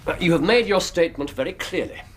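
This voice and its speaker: An actor playing a courteous, authoritative Victorian detective with an English accent and a husky voice, formal and measured, in a radio drama. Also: male voice, a little high, lively intonation, slight background noise, lightly acted. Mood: grave reassurance. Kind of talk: period radio drama acting.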